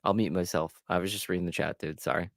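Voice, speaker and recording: A man's voice: low-pitched, steady tone, quick pace, vocal fry, a bit bright, no echo, clean studio sound. A young man from Massachusetts with a Massachusetts accent, chatting casually and profanely as host of a manga read-along stream, packing his talk with "like". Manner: rambling and profane, full of "like".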